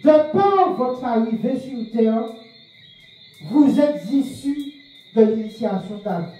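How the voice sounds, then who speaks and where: A man's voice: extremely high pitch, expressive, slow pace, mellow. A man giving a public talk on spiritual practice, questioning the audience and repeating key phrases. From France. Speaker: speaker of a spiritual movement. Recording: very echoey room, far from the mic, faint noise.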